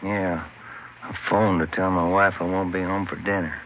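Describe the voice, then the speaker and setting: An understated police partner with a low, flat delivery. He is laconic and casual, in radio drama style. The old broadcast is muffled under heavy noise. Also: normal speed. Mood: easygoing. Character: easygoing wounded male police detective partner. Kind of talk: 1950s radio drama acting.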